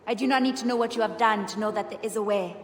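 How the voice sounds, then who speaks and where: A woman's voice: mid-range voice, rapid-fire, lightly acted. A woman playing a guarded, formal priestess of ancient Egypt in a video game cutscene. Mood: earnest. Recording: echoey temple-like hall, clear background noise.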